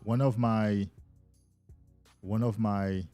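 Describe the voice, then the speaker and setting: A man's voice: lowish voice, fairly even intonation, slow pace, dark tone, no echo, faint music behind. A man hosting a casual live stream as a coach, talking loosely and enthusiastically to viewers and often repeating words as he goes.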